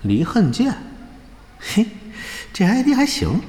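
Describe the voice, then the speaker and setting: An actor playing a cocky, petty, hot-tempered young gamer rival in an audio drama, sneering and muttering scornfully. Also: male voice, high voice, huge pitch swings, takes it slowly, slight background noise, full character voice. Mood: smug. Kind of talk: Chinese audio drama acting. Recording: reverberant room, loud music bed.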